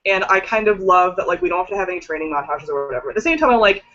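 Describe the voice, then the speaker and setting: A woman's voice: fairly low pitch, lively intonation, rapid-fire, fairly bright tone, lo-fi sound. A woman chatting casually and emphatically in a book discussion, swearing freely and leaning on "like". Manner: emphatic, swearing, filler-heavy with "like".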